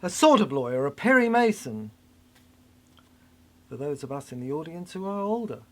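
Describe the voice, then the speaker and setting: An older person delivering a rambling, cryptic monologue in halting fragments, repeating phrases for emphasis. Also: medium pitch, sing-song.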